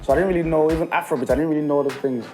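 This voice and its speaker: A man's voice: a little high, rapid-fire, music in the background. A man in his thirties raised in England touched by speech, chatting as a guest and laughing often. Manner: laughs often, mimics others' voices. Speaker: guest musician. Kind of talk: casual chat.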